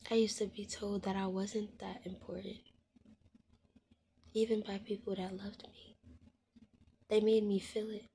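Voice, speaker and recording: A woman in her twenties speaking candidly to her viewers, frank and heartfelt, often dropping to a soft hushed voice and swearing bluntly. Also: mid-range voice, level tone, a little slow, pauses often, slight background noise. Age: 20s. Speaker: vlogger. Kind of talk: candid personal monologue to viewers.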